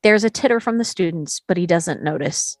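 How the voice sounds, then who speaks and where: A woman's voice: lowish voice, expressive, a little fast, no echo, soft background music. Woman narrating screenplay directions, dry sound. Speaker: narrator reading stage directions.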